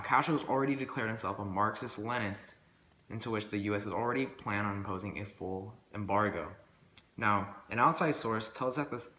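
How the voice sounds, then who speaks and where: A man delivers an amateur newsreel report in a newscaster manner. His voice is fairly low and bright, with a forward edge. The room echoes around him, and there is faint noise behind. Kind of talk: amateur scripted newsreel narration.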